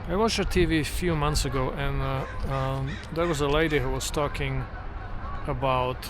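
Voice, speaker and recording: A middle-aged man who speaks English as a second language, talking to the camera outdoors in an unhurried, reflective way with frequent restarts. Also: mid-range voice. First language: not English. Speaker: vlogger. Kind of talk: casual walking vlog monologue. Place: outdoors near trees and traffic. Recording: outdoor traffic noise. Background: street traffic noise.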